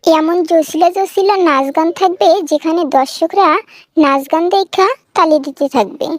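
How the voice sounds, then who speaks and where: A woman's voice: extremely high pitch, moderate pace, no room sound, clean studio sound. A woman voicing a vain, pleading young would-be film heroine with a Bangladeshi accent in a comic cartoon. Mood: eager, insistent. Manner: boastful, wheedling.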